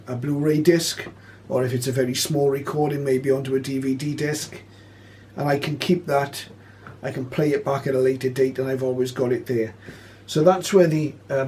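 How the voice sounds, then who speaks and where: A man presenting an informal spoken tutorial, explaining step by step with hesitant pauses. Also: mid-range voice, steady tone, darkish tone, a little hiss or hum. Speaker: presenter of a shortwave radio tutorial.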